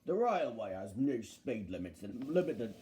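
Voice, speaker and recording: A grumpy double-decker bus from a children's storybook, as a male narrator plays him, scornful and boastful. His voice drops low and stays mellow, with a gloating edge to his complaints about the world. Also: sing-song, normal speed, a little hiss or hum, natural voice.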